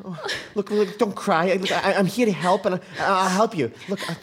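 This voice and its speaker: An actor playing a meek, nervous middle-aged husband, a washed-up talk show host who stammers through a comic audio drama. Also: male voice, high-pitched, brisk, a bit bright, breathy edge. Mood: startled, flattered.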